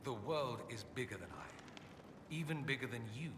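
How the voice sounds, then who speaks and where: A man's voice: mid-range voice, lively intonation, normal speed, fairly bright tone, far from the mic, lightly acted. Man doing a haughty witty fantasy mage for a game, arch and sardonic, really swoopy delivery, big echoey room w/ noise behind. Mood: reflective, sincere. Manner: suave, sardonic, theatrically arch. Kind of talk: video game character dialogue. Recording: echoey hall, clear background ambience noise.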